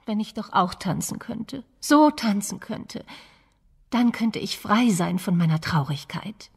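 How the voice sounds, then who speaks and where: A woman playing a gentle, lonely young princess in a German fairy-tale audio drama, plaintive and earnest. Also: mid-range voice, animated, unhurried, creaky, slightly rough, exaggerated voice. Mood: sorrowful, lonely. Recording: long hall reverb, faint music.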